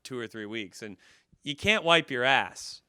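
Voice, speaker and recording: Male comic guest, bright forceful voice, dry close sound, casual self-mocking anecdotes with acted-out lines. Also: medium pitch, lively intonation, measured pace, clear, resonant tone, no room sound. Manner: laughs through his stories, acts out dialogue; self-deprecating, profane. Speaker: comedian, podcast guest. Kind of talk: animated comedic storytelling, casual chat.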